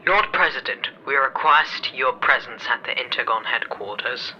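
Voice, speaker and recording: Muffled noisy radio transmission, man playing a formal urgent sci-fi councilman, very tense forceful voice. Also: mid-range voice, expressive, normal speed. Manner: formal, official. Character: urgent, formal male Grand Councilman reporting to his president. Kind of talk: sci-fi audio drama acting.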